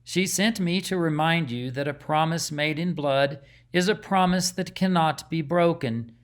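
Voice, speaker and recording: A man's voice: fairly high pitch, unhurried, natural voice. A man voicing an ominous winged messenger in a storybook, stern and terse. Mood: stern warning.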